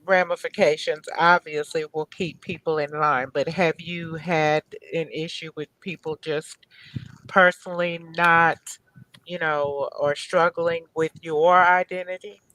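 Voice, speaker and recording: A woman's voice: low voice, steady tone, measured pace, a bit bright, dry recording, rough recording. A middle-aged woman hosting a talk show over a video call, talking casually and hunting for words with lots of fillers. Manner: rambling, searching for words with many fillers.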